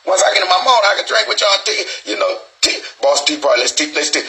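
Forties male host, slightly higher pitch, rambling streetwise sermon-style talk from a small booth. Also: animated, fast talker, gravelly, sharp tone. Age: 40s. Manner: rambling, profane, preachy with restarts and stutters. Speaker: show host. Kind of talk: freewheeling street monologue.

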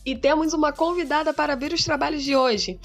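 A woman co-hosting a podcast, reading the introduction in a clear, formal way. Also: high-pitched, fast talker, faint music behind. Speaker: podcast co-host.

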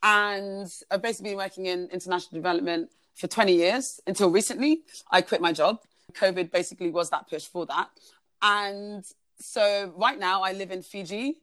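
A woman's voice: mid-range voice, a bit bright, no echo. A woman, telling her story as a podcast guest in a chatty way, with many fillers and restarts. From Uganda. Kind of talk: casual conversational storytelling.

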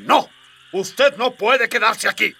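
A man's voice: extremely high pitch, slow, pushed and bright, breathy edge, exaggerated voice. A man playing a stern, pompous and easily flustered alien colonel, barking officiously in a comedic radio drama.

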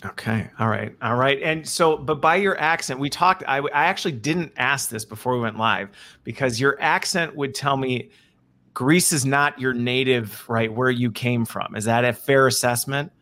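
A man's voice: medium pitch, moderate pace, sharp tone. Upbeat male webinar host. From American Midwest. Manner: upbeat, repeats okay and all right often. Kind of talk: casual live webinar chat. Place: video call.

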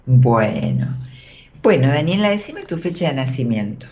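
A woman's voice: extremely low pitch, very animated, measured pace, mellow, slightly rough. Woman, Argentine accent, call-in radio host, informal advice chat, reassuring, muffled recording. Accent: Argentine Spanish. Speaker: radio host and tarot reader. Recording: dull, band-limited audio.

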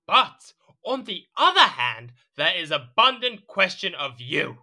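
A man's voice: sits high, very animated, measured pace, pushed and bright, heavily acted. An actor playing a petulant, haughty and easily swayed king in an audio drama. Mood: excitable, surprised. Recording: music behind the voice.

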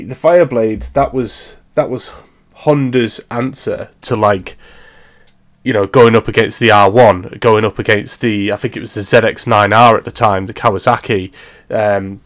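A man in his early thirties talks casually as a co-host. He rambles, leans on fillers and often laughs at his own jokes. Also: on the low side. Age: 30s. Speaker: podcast co-host. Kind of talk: casual chat.

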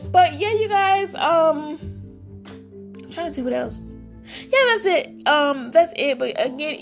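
A high-pitched woman with a raspy, hoarse voice chats casually with her podcast listeners. She talks with friendly enthusiasm and keeps calling them "guys". Faint music plays behind her. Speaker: podcast host. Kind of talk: casual solo podcast chat.

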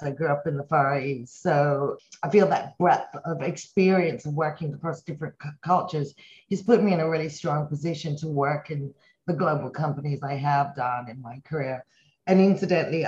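A woman's voice: sits low, darkish tone, dull audio. A woman in her fifties who grew up in Hong Kong, a company chief executive answering interview questions in an earnest, reflective way. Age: 50s. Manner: earnest, reflective, speaks in long run-on thoughts. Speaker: company CEO, interview guest.

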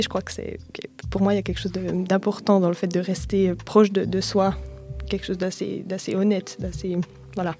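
A young woman, a singer-songwriter answering interview questions in a hesitant, soft-spoken way with many fillers. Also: mid-range voice, creaky. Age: young adult.

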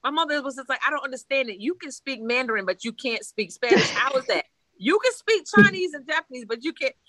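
A woman's voice: high-pitched, animated, fast talker, bright, forceful tone, no room sound, rough recording. A woman from Haiti, a priestess, talking bluntly and animatedly in a casual conversation, laughing often and swearing freely. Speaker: Ifa priestess guest.

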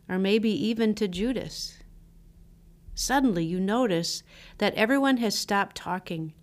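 A woman pastor reads scripture and a reflection in a scripted devotional style, with measured, deliberate phrasing. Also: lowish voice.

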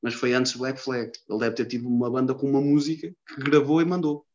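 Homem lisboeta calão, som abafado. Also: male voice, medium pitch, level tone, fast, darkish tone. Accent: Lisbon Portuguese. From Lisbon, Portugal. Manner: slangy, swears a lot, rambling. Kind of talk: casual chat. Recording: dull lo-fi sound.

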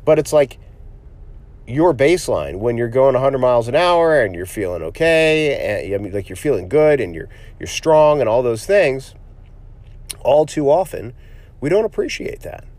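A man's voice: medium pitch, very animated, normal speed, darkish tone, dry recording. A physician hosting his own podcast while sick with a cold, sounding nasal and sniffly, talking in a reflective, motivational way with frequent fillers and restarts. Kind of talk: casual solo podcast monologue.

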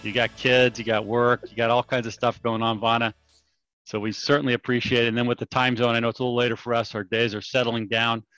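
A man's voice: mid-range voice, little pitch movement, fast, a bit bright, smooth, no room sound, soft background music, poor audio. A man in his forties from California, hosting a podcast and explaining things in a casual, conversational way. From California, United States. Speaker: podcast host.

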